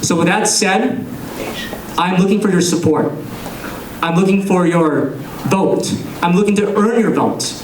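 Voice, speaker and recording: A young man giving an earnest, measured campaign speech to a room full of people, pausing between phrases. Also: sits high, slight background noise. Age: young adult. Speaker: political candidate. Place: large room with an audience. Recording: echoey room, captured from a distance.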